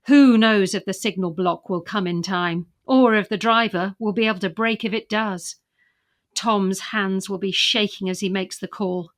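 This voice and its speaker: A woman's voice: mid-range voice, fairly bright tone. A British woman co-hosting a podcast, moving between chatty presenting and scripted reading. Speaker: podcast co-host.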